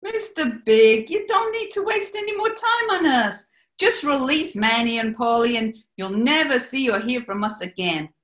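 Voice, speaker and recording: A woman's voice: high voice, lively intonation, moderate pace, a bit bright. A woman playing a plucky, loyal bootlegger, earnest and firm, in a radio play. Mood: earnest, defiant. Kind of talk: 1940s-style radio play acting.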